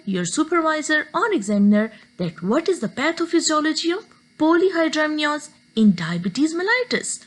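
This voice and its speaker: A woman from Pakistan, giving a recorded medical lecture and explaining step by step. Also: high voice.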